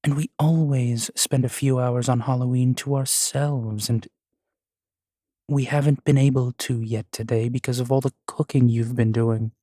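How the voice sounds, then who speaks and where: A man's voice: mid-range voice, steady tone, moderate pace, dark tone, no echo, studio quality, natural voice. A man playing a grumpy, jealous ghost boyfriend in an audio roleplay, with a sardonic, sullen manner. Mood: sullen, pleading.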